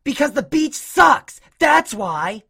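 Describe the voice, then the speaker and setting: Woman doing a mean loud cartoon mare, high voice, swings all over, scornful and snappy, story acting. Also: big pitch swings, takes it slowly, vocal fry, exaggerated voice. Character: bullying, scornful, hot-tempered adult female cartoon pony. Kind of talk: dramatic fan-fiction character acting.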